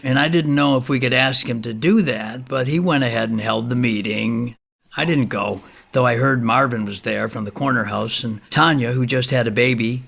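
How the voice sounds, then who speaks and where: A man's voice: medium pitch, creaky, fairly bright tone. An actor playing a blithely frank man of eighty-three in an audio play, telling his story in a folksy, rambling, matter-of-fact way. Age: older.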